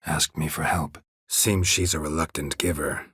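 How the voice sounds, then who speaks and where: A man voicing a gruff, stoic, dry-witted monster hunter in a video game, in a husky rasp with a terse, deadpan manner. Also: a bass voice, normal speed, dry recording, exaggerated voice. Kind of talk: video game character dialogue.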